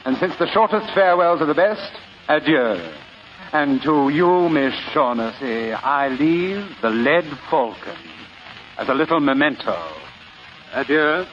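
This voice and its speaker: A suave, pompous crook who speaks in ornate, courtly phrases. The voice sits a little high. It comes through a dull old radio recording with faint hiss. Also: male voice, animated, unhurried. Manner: florid, courtly, elaborate phrasing. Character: fat, suave, pompous older male crook. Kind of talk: 1940s radio acting.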